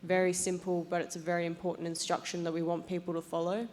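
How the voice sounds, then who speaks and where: A woman's voice: fairly low pitch, monotone, fast talker, darkish tone. A young Australian woman giving a prepared presentation as a panelist. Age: young adult. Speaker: panelist, transport policy advisor. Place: conference assembly stage, indoors.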